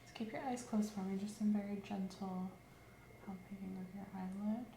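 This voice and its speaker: A woman doing an ASMR role-play as a medical examiner, giving slow, gentle instructions that sometimes drop to a whisper. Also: medium pitch, steady tone, creaky, echoey room, slight background noise. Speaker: ASMR creator playing an examiner.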